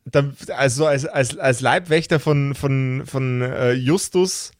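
A man's voice: mid-range voice, slow pace, dry recording, clean studio sound. A man chatting casually as a game master. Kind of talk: casual chat.

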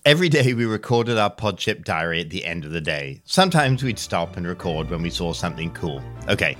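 A man with a very low voice chats about a long day on the trail in a relaxed, casual way, now and then swearing. He is worn out from hiking. He is recorded outdoors at a campsite on a clip-on mic. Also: brisk, soft background music. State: tired from hiking. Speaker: hiker vlogging a thru-hike. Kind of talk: casual trail diary chat. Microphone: clip-on mics.

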